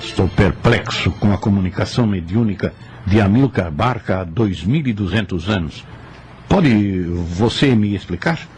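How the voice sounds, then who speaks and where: A man's voice: lowish voice, animated, normal speed, darkish tone, soft background music. An actor playing a perplexed, inquisitive man who questions a spirit guide in a radio drama. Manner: questioning, puzzled.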